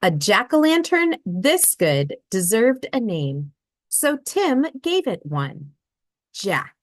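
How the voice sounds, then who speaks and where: Slow, expressive woman reading a storybook. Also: medium pitch, no room sound, studio quality. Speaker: read-aloud narrator. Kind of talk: children's picture book read aloud.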